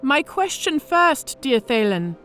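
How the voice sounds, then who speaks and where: A woman's voice: sits high, big pitch swings, slow, fairly bright tone, exaggerated voice. A woman playing a smug female orc centurion in an audio drama, speaking with mock-sweet politeness and cool menace. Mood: probing, menacing.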